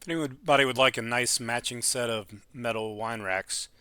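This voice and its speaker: Male DIY host, 50s, informal and hands-on, mid-pitched, thinking aloud over clear background noise. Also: moderate pace, bright, forceful tone. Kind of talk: casual workshop vlog.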